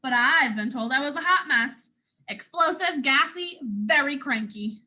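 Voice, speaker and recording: A woman's voice: high-pitched, expressive, measured pace, bright, forceful tone, exaggerated voice. A woman with a North American accent voicing planet Earth as a proud, playful, ancient storyteller in a children's picture book, with dramatic emphasis. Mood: playful reminiscing.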